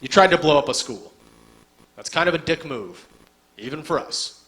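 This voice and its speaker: A man's voice: a little high, animated, moderate pace, fairly bright tone, poor audio. A man voicing a god in a stand-up bit, scolding someone in a plain, dry tone. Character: calm, unimpressed male god.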